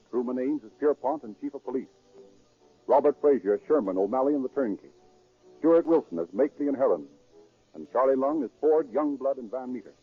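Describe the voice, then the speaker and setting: A male announcer from 1930s radio with a formal, declamatory delivery. His mid-pitched voice has a husky edge. The old broadcast recording is narrow and lo-fi. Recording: muffled, band-limited 1930s radio broadcast.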